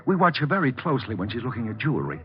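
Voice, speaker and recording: Excitable, nervous male clerk acted, old radio. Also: mid-range voice, racing, close to an everyday voice. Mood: upset. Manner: fretful, deferential, excitable. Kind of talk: 1940s radio drama acting. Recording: muffled old radio recording.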